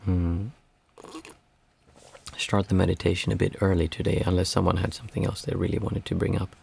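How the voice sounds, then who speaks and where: A hoarse man with a raspy, worn voice, guiding a group meditation in a calm, unhurried way. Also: low-pitched, slight background noise. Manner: calm, unhurried counting of breaths. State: hoarse, losing his voice. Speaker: meditation teacher.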